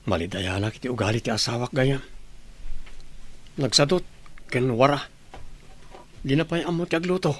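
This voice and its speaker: A man's voice: mid-range voice, normal speed, long pauses, fairly bright tone, close to an everyday voice. A man playing a complaining, disillusioned village husband in a radio comedy drama. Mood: rueful.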